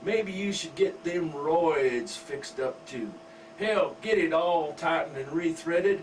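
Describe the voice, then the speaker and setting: Man doing a coarse rich country husband, loud drawl, somewhat high, from far off the mic. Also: takes it slowly. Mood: boastful. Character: rich middle-aged country husband, crude and indulgent. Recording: distant mic with faint hum.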